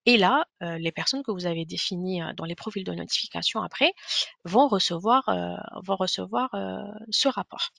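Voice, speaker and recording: A woman giving an explanatory software webinar walkthrough, speaking hesitantly with frequent pauses. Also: lowish voice, creaky, no echo, studio quality.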